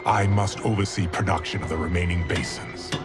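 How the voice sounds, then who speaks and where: An actor playing a menacing dark villain in a video game, with a gravelly, ominous, commanding delivery. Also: male voice, deep voice, steady tone, a little fast, loud music behind the voice, lightly acted. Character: menacing dark sorcerer overseeing his minions.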